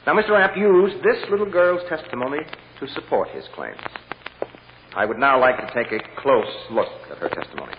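Male actor as persuasive courtroom lawyer, deliberate and coaxing, expressive pitch, 1940s radio drama, dull hissy sound. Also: close to an everyday voice. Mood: pointed, assured. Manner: measured and deliberate, gently coaxing or drily firm. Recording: muffled, hissy old radio recording with hall echo.

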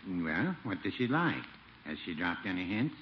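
Fussy, low-voiced older American shopkeeper. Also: male voice, sing-song, normal speed. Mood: mildly helpful. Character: mild, fussy, dry older small-town shopkeeper. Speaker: supporting comic actor. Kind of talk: 1940s-50s radio sitcom acting. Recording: muffled, very noisy old radio recording.

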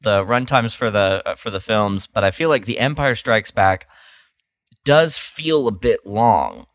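Smooth low-voiced man chatting casually. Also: measured pace, no echo. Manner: relaxed, hesitant with uh and like. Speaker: podcast co-host. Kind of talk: casual podcast chat.

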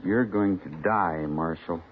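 A man's voice: low-pitched, a little slow. A rambling nervous whiskey salesman. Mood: uneasy. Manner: rambling, nervous, oddly matter-of-fact. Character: odd, fussy traveling whiskey salesman, middle-aged man. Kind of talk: 1950s radio drama acting. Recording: tinny old radio broadcast, faint hiss.

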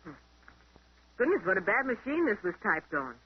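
An actress playing a bright, inquisitive young secretary in a 1930s radio mystery. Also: female voice, medium pitch, big pitch swings, normal speed, breathy edge. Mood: curious. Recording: muffled 1930s radio recording.

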